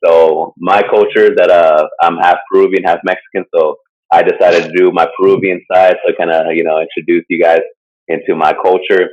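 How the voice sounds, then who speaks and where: A man's voice: deep voice, little pitch movement, moderate pace. A man co-hosting a casual podcast, talking through a topic in a loose, rambling way full of fillers. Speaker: podcast co-host presenting a topic. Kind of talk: casual chat. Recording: dull lo-fi sound.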